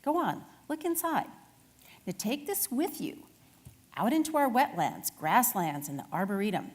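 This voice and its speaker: A woman's voice: on the high side, sing-song, moderate pace, long reverb tail. A woman voicing a friendly female nature educator in a children's storybook, encouraging and instructive.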